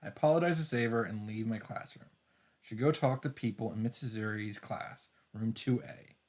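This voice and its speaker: A man's voice: fairly low pitch, moderate pace, a little mellow. A man hosting a let's play, reading visual novel narration aloud and adding dramatic asides as he reacts.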